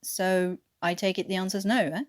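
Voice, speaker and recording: A woman's voice: mid-range voice, steady tone, moderate pace, lightly acted. A woman voicing a cheeky, reasoning male British airman in an attempted English accent, blunt, chummy and sardonic, for an audio story. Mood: deadpan needling.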